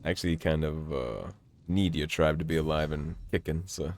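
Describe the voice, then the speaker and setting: Very deep-voiced, slightly croaky gamer. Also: male voice, normal speed, a little hiss or hum. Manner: relaxed, wry, self-mocking. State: slightly croaky from a sore throat. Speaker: let's play host. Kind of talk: casual gameplay commentary.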